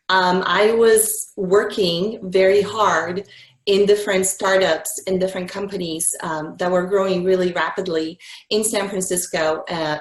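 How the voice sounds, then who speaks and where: A woman in her forties from Bulgaria, answering interview questions in a reflective way with many pauses. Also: medium pitch, echoey room, low-quality recording. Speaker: guest.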